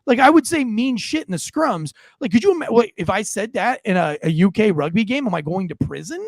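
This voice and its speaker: Guy, high gravelly voice, rambling profane rant, dry sound. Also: sing-song, a little fast, no room sound. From United States. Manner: rambling, swearing, many restarts. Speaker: podcast co-host.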